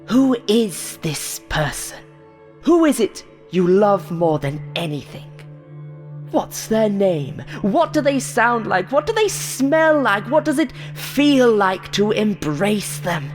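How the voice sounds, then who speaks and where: A woman voicing a monstrous game show host in a horror story, falsely friendly and hateful, with a taunting, showy, drawn-out delivery. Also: medium pitch, big pitch swings, a little mellow, in a large hall, soft background music, pitched above the performer's own voice. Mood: taunting, menacing.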